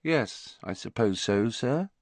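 A man's voice: mid-range voice, big pitch swings, normal speed, fairly bright tone, dry recording, pitched above the performer's own voice. A man voicing a cold, guarded and defiant orphan boy in a storybook, with a curt manner. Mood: cold, colourless.

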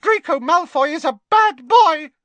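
Storybook house elf: squeaky, eager, very high and quick, dry read. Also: male voice, lively intonation, fairly bright tone, no echo. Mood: angry. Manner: squeaky, breathless, speaks of himself in the third person. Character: squeaky excitable male house elf, loyal and anxious.

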